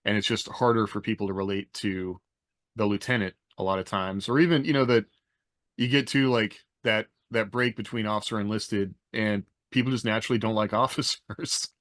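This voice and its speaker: A man's voice: on the low side, steady tone, fairly quick, fairly bright tone, no echo, clean studio sound. A middle-aged man hosting a podcast in a casual panel style. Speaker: podcast host. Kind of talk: casual panel talk with rehearsed introductions.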